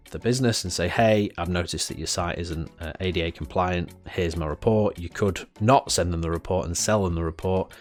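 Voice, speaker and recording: Deep-voiced man with a cold. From United Kingdom. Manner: chatty, frequent "uh" fillers and restarts. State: has a head cold, sniffling. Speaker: product reviewer. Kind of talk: casual product review to camera.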